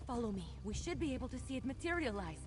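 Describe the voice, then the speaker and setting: Lively, cheeky young apprentice mage. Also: female voice, fairly high pitch, fast talker, music in the background. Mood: excited. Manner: playful, expressive, chatty. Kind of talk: video game dialogue acting.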